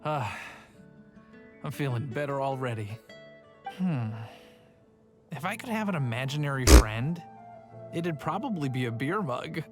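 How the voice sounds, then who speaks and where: A man's voice: mid-range voice, very animated, measured pace, pauses often. A man playing a tipsy, easygoing tavern drinker in a video game, with a languid drawl. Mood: tipsy, content. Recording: music behind the voice.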